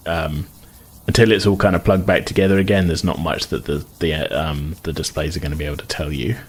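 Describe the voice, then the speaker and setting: A man's voice: extremely low pitch, steady tone, brisk, slightly rough. A man running a tabletop game as game master, explaining things in a hesitant, conversational way. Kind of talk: improvised tabletop game narration.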